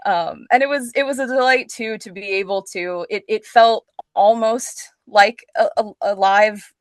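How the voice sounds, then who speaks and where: A woman speaks as a guest in a casual podcast conversation. Her pitch is middling and the sound is dry, with little room to it. She talks like a performer, enthusiastic and gushing about her work. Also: measured pace, dry recording. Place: remote video call.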